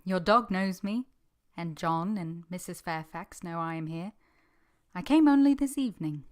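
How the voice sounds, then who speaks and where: A woman's voice: fairly low pitch, moderate pace, close to an everyday voice. A woman playing an earnest, tender former governess, acting and narrating her own story in a radio drama. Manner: earnest, gentle, heartfelt.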